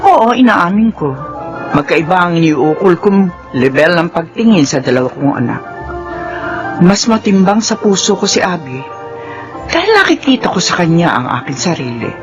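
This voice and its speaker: A woman's voice: fairly low pitch, big pitch swings, normal speed, muffled recording. Domineering Filipino mother, swooping voice over music. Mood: confiding, frank. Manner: scolding, melodramatic. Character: domineering, nagging twice-widowed mother who favors one daughter. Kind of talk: Filipino radio drama acting.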